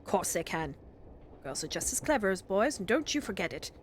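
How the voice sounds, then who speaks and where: A woman voicing a cheerful, brisk, reassuring father in a storybook reading. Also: mid-range voice, very animated, a little hiss or hum, natural voice. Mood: hearty, cheerful. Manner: brisk, jovial, encouraging. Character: cheerful, hearty, reassuring father of an Edwardian family.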